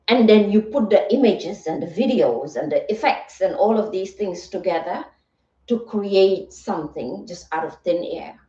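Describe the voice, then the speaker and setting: A woman speaks slowly and reflectively in a soft-edged, mellow, soothing voice, like a host encouraging viewers on a livestream. She is earnest and pauses to check that listeners follow. The sound is slightly muffled, with room echo around her. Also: mid-range voice. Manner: earnest, reflective, rhetorical check-ins like "right?" and "okay". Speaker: livestream host and motivational content creator. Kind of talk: live motivational talk, replying to viewers. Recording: roomy echo, distant mic, slightly muffled.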